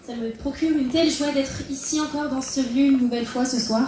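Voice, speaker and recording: A woman's voice: high-pitched, steady tone, brisk, a little mellow, slight background noise. A woman interpreter promptly relaying a preacher's words phrase by phrase, with small restarts. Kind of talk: live consecutive interpreting. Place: church hall. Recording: very echoey hall.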